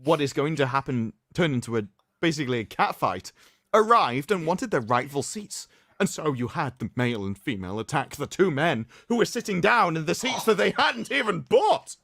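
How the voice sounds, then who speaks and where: A man hosting a livestream, reading aloud in a mocking, theatrical way with sarcastic asides and drawn-out emphasis. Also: on the high side, big pitch swings, vocal fry, fairly bright tone, no room sound, studio quality. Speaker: livestream host. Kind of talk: mocking dramatic reading of an article with commentary.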